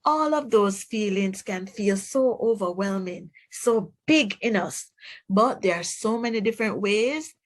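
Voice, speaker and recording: Slow, expressive woman reading to children. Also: mid-range voice. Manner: deliberate, expressive, addresses child listeners directly. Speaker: teacher reading aloud to young children. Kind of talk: children's storybook read-aloud.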